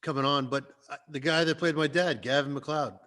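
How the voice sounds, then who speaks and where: Bright-voiced man in jokey panel chat. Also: on the high side, steady tone, fairly quick. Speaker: actor on a reunion panel.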